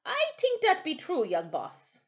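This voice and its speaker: A woman voicing a big, gentle, protective old sailor in a storybook, with emphatic, drawn-out dialect. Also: extremely high pitch, wildly swooping pitch, highs cut off, voice pushed up, full character voice. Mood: gentle, soothing.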